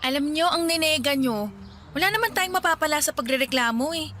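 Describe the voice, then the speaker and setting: An actress playing a cheerful, teasing poor young woman in a radio drama, with animated, scolding banter. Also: sits high, fairly quick. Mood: scolding, upbeat. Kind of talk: Tagalog radio drama acting. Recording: faint ambient noise behind.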